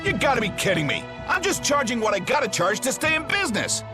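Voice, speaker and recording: An actor playing an excitable, hard-pressed market merchant in a video game. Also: male voice, extremely high pitch, fast, exaggerated voice. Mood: indignant. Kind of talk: English video game voice acting. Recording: light music behind the voice.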